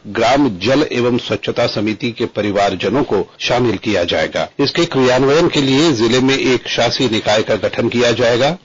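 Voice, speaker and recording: A man's voice: medium pitch, breathy edge. A man reading out a scripted news report as a correspondent. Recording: dull, muffled radio report.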